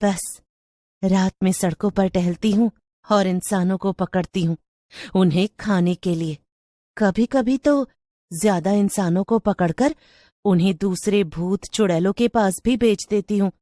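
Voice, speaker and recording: A woman voicing a menacing witch who poses as a new neighbour, taunting slowly in a cartoon horror story. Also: medium pitch, dark tone, no echo, studio quality, natural voice. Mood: cold, ominous. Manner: taunting, slow and deliberate threats.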